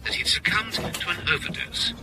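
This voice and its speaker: An actor playing the measured, grave narrator of a detective novel, in scripted narration over clear background noise. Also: male voice, natural voice. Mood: grave narrating. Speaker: audiobook narrator reading a crime novel.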